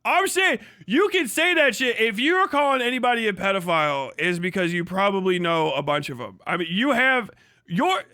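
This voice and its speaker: A man's voice: sits high, animated, moderate pace, sharp tone, dry recording. A young man hosting a livestream, giving crude, mocking reaction commentary and laughing often. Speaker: livestream host. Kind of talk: profane live reaction commentary.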